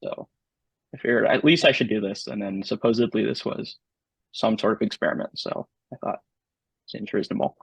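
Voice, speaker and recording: A man speaking as an interview guest in a casual, halting way, full of ums and likes. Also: fairly low pitch, gravelly, breathy edge, dry recording, low-quality recording. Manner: halting, filler-heavy, lots of "like" and "um". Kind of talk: casual conversation.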